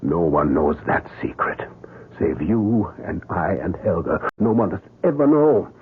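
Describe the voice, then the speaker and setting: An actor playing a kindly, fatherly German surgeon in an old-time radio drama, with an emphatic, deliberate delivery and a German accent. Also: deep voice, expressive, breathy edge, full character voice. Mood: solemn, confiding. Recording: narrow, tinny vintage radio recording.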